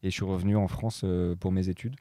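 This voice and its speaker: Relaxed young man, fillers. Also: low-pitched, quick pace, dry recording, studio quality. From Morocco. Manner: hesitant, many fillers and restarts. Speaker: guest DJ and producer. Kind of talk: casual chat.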